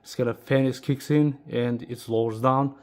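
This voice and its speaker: A man who speaks English as a second language gives a casual, unscripted product demo as a video host, talking haltingly and checking in with short tag questions. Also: mid-range voice, steady tone, a little mellow. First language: not English. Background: music playing, amplifier fan.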